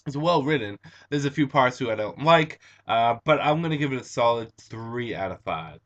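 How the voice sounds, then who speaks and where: A young man with a raspy, hoarse voice, chatting casually and eagerly as a comic book reviewer, recorded on a computer webcam. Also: mid-range voice, expressive, normal speed, fairly bright tone, highs cut off. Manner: enthusiastic, chatty, with frequent um and uh. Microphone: computer webcam mic.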